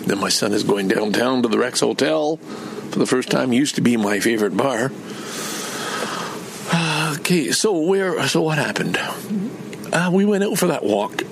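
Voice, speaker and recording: Gravelly older man rambling chattily. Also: mid-range voice, noisy background. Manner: meandering, chatty, many restarts and asides. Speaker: vlogger. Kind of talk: rambling personal vlog.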